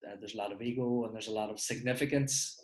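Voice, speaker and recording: A man's voice: lowish voice, steady tone, fast talker, darkish tone. Northern Irish man in an echoey room. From Northern Ireland. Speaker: podcast host. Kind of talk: casual podcast interview.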